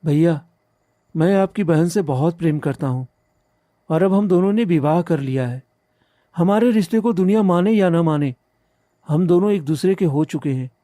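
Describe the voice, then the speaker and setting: A man's voice: on the high side, normal speed, long pauses, dark tone, dry recording, studio quality, natural voice. A man playing a devoted young village bridegroom, earnest, respectful and pleading, in a cartoon story. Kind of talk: Hindi animated moral story dialogue.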